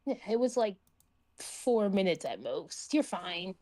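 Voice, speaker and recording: A woman's voice: medium pitch, sing-song, measured pace, mellow. A woman chatting casually as a player in a tabletop game, teasing and joking with the others. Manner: teasing, giggly.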